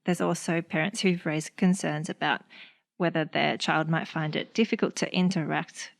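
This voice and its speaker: A young Australian woman talks in a relaxed, rambling way on a podcast. Her voice sits low and carries a gravelly fry. She leans on fillers as she thinks aloud. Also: moderate pace. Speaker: speech pathologist. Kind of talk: casual podcast chat.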